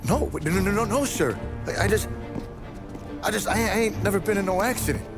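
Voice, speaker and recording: An American man playing an excitable, easily rattled boxer in a cinematic crime game. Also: sits high, fairly quick, over music, close to an everyday voice. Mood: frightened, pleading. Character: hot-headed young male boxer turned cowering, stammering apologizer.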